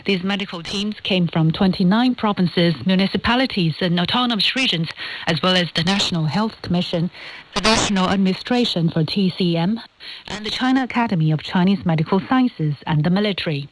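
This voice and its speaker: Lower-pitched woman reading radio news. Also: slight background noise, poor audio. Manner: formal, measured delivery. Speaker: news reader. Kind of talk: scripted radio news bulletin. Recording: shortwave radio broadcast.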